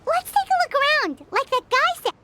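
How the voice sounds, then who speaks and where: A woman voicing a tiny, excitable childlike female companion creature in a video game, with a squeaky, babyish voice. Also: moderate pace, heavy background noise. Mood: curious, eager. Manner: exclamatory, refers to self in third person. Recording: loud game music underneath. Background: game music.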